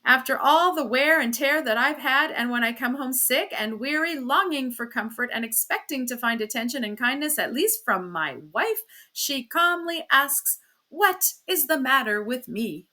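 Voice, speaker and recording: A woman's voice: mid-range voice, a little slow, bright, forceful tone. A woman voicing a self-pitying, dissolute husband in an audiobook, theatrically aggrieved, sarcastic and languid. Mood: indignant.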